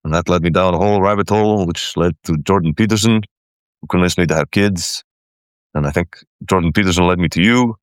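Very low-voiced hesitant man from Iceland. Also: a little fast, smooth, dry recording. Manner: hesitant, repeats words and restarts often. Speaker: caller, guest. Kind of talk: casual personal conversation.

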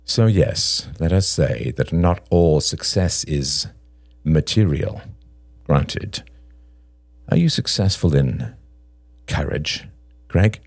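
A man voicing a cold, aggrieved father in an audiobook drama, deliberate and contemptuous as he confronts a rival. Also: a bass voice, lively intonation, creaky, dry recording, full character voice. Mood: measured challenge.

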